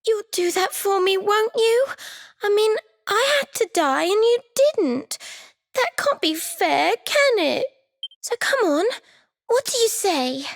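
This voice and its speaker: A woman's voice: very high voice, big pitch swings, takes it slowly, heavily acted. A woman voicing the false phantom of a dead young girl in a game, sugary, taunting and cruel. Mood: sweetly menacing. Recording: background music under the voice.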